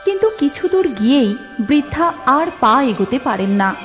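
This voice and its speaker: A woman narrating a cartoon story in an even storytelling manner. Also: high voice, moderate pace, soft background music.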